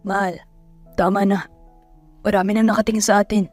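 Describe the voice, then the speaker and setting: A woman voicing a mild middle-aged father in an audio drama, speaking in a calming, resigned way. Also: mid-range voice, a little fast, faint music behind, natural voice. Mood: placating. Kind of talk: Tagalog audio drama acting.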